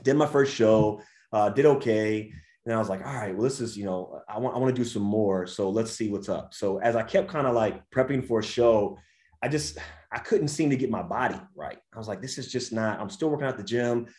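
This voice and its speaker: A man in his twenties with an American accent, a vegan coach telling his story as an interview guest in a lively, slangy way. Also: lowish voice, brisk, reverberant. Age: 20s. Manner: animated storyteller, slangy, says dude and bro. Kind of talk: casual podcast interview.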